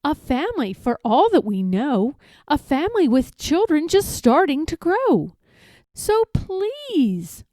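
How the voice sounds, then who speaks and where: A kind, earnest male storybook elephant, played by a woman, pitched high and swinging widely. He speaks slowly and gently, with sincere, protective emphasis. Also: wildly swooping pitch, no room sound, studio quality, heavily acted. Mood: earnest, pleading. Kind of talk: children's storybook read-aloud.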